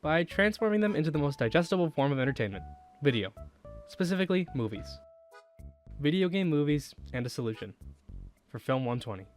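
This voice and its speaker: A young man reading a scripted video essay as a student narrator, in a measured, enunciated way with rhetorical questions. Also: a little high, big pitch swings, faint music behind. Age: young adult.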